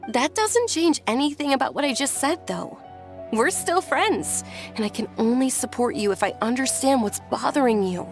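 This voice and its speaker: A young highborn lady from a fantasy game, with a fairly high voice. She is polite, articulate and earnest, a caring and perceptive friend. Music sits under her speech. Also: expressive, moderate pace. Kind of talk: video game voice acting.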